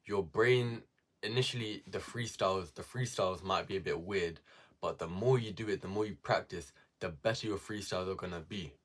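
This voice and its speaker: A man's voice: low voice, normal speed. A young man giving casual self-improvement advice to viewers, repeating key words for emphasis.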